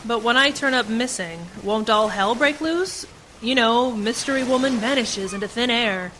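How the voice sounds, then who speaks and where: A woman's voice: fairly high pitch, normal speed, sharp tone. A woman playing a defiant, bitter prisoner in a sci-fi radio play, wary and pointed in her questioning. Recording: noisy community radio drama recording.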